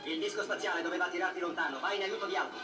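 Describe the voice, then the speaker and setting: A man playing a grave, authoritative fatherly scientist who gives measured commands in a dubbed 1970s anime. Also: sits high, lightly acted. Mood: grave, commanding. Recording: old film soundtrack, echoey, distant, with music. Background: music.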